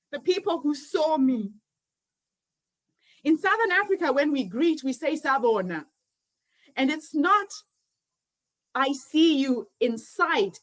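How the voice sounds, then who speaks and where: Lady in her 50s, high voice, earnest speech telling her own story. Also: low-quality recording. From Zimbabwe. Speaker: summit founder and speaker. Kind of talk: motivational speech.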